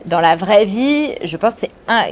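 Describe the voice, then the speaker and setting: A woman in her forties speaks at a middle pitch, thinking aloud as she answers. She pauses often, restarts her phrases and fills gaps while she searches for words. A faint hum of ambience sits behind her. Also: lively intonation. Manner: thoughtful, hesitant, frequent restarts and fillers. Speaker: film director. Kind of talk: interview answers.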